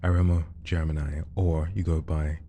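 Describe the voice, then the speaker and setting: A man's voice: rumbling low, little pitch movement, normal speed, background noise. A man hosting a podcast interview, chatty and upbeat, coaxing his guest along. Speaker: podcast host. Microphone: studio microphone.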